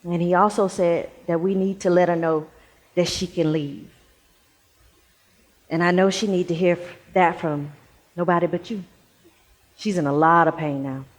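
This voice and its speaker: A woman playing a sorrowful, gentle female relative in a stage play. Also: on the low side, fairly even intonation, normal speed, long pauses, natural voice. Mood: somber, pleading.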